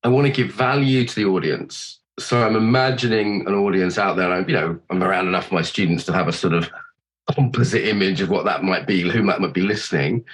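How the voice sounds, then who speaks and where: A middle-aged man with a cold, a podcast host being interviewed, chatting loosely, swearing freely and thinking out loud. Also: deep voice, brisk, poor audio. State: has a cold. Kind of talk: casual chat.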